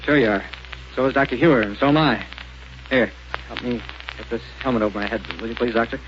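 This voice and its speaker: An actor playing a confident, calm space pilot hero in a 1930s radio drama. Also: male voice, mid-range voice, fast, natural voice. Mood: determined. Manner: calm and assured. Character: brave heroic space pilot, adult man, confident. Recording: dull lo-fi old radio recording with loud hiss.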